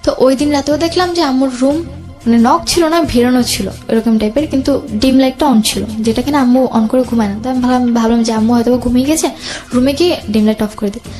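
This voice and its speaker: A young woman with a high voice tells a personal story in an interview. She speaks haltingly, feeling her way through her memories. Music plays clearly behind her. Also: a little mellow. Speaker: guest telling own life story. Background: background music.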